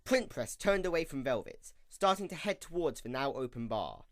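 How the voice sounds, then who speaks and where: A man's voice: mid-range voice, expressive, normal speed. A man reading scripted story narration as the narrator of an audio drama.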